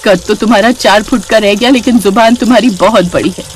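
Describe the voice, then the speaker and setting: Woman acting a gentle, dutiful daughter-in-law. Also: medium pitch, a little fast, slight background noise, natural voice. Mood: reproachful. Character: gentle, dutiful young daughter-in-law, patient but self-respecting.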